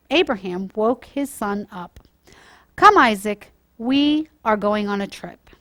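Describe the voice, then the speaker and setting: A woman telling a Bible story to children, explaining it simply and deliberately as a church storyteller. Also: on the high side, dry recording. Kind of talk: read-aloud children's story with informal moral teaching.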